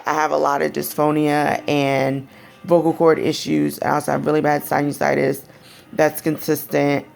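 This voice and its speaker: A young woman with a raspy, deep voice, speaking candidly in a personal vlog. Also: darkish tone. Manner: candid, frank and conversational. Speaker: vlogger. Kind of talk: personal storytelling to camera.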